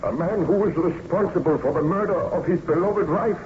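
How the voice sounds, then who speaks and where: A man's voice: on the high side, fairly quick, lightly acted. A man playing a tormented, guilt-ridden scientist nobleman in formal, theatrical old-time radio drama style. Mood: urgent, haunted. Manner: grave, melodramatic, formal theatrical diction. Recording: dull, noisy old radio recording.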